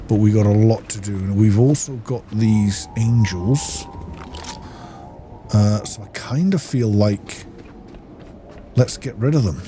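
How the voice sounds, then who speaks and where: A man giving casual gameplay commentary, thinking aloud in an informal way. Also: on the low side. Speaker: gaming video host. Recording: loud engine and game ambience noise.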